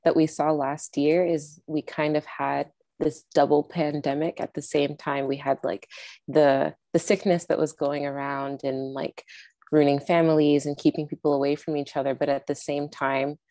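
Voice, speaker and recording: A woman's voice: sits low, little pitch movement, normal speed, dark tone, dry recording. A young woman, a film director, answering interview questions casually and earnestly over a video call with an earbud mic, with lots of "like" and "um". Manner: casual, earnest, many fillers like "like" and "um". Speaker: film director and network founder. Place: indoors with a virtual background. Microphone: wired earbud mic on a video call.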